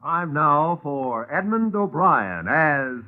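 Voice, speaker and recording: A man's voice: a little high, animated, slow. A man delivering a scripted show introduction as an announcer in 1940s radio style. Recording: narrow, tinny old radio broadcast.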